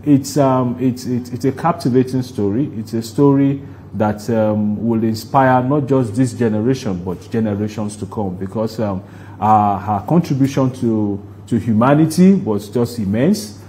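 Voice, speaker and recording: A man with a Nigerian accent answering interview questions in a deliberate, halting way, explaining things at length. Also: medium pitch, mellow, a little hiss or hum. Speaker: guest, stage play producer.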